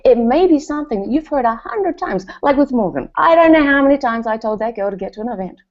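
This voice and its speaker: Animated New Zealand woman speaker. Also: fairly high pitch, quick pace, highs cut off. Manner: animated storytelling with quoted voices. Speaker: speaker at a company event. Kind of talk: motivational speech.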